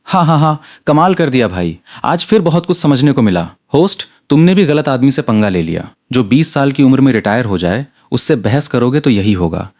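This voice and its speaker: A man's voice: mid-range voice, sing-song, moderate pace, few pauses, a little mellow. A man voicing livestream viewers who gush and tease. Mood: thrilled. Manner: gushing, teasing. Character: excited livestream viewers, cheering and teasing.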